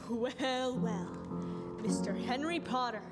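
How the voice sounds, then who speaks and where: A woman's voice: on the high side, takes it slowly, over loud music. A young woman acting a flustered, absent-minded old uncle who stammers and repeats words in a live radio play. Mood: teasing, merry. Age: teenager or young adult. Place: school auditorium stage. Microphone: stand microphone. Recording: echoey hall with long reverb.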